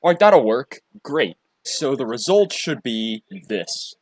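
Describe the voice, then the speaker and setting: A man presenting a casual software tutorial, talking in a halting way and often repeating his phrases. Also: medium pitch, big pitch swings, no echo. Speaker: tutorial presenter and hobby voice actor.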